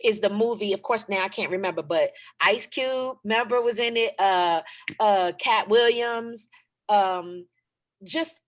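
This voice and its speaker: A woman's voice: medium pitch, unhurried, a little hiss or hum. A woman in a casual feedback chat after a table read, talking in a rambling, slangy, effusive way. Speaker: cast member of a table read.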